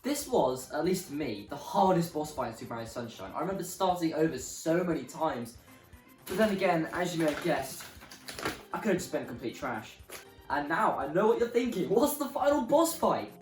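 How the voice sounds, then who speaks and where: Roomy, swooping sardonic presenter over loud music. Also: medium pitch, very animated, moderate pace. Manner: exasperated, sardonic, dramatic. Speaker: video essay presenter. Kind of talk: opinionated game review commentary. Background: prominent music.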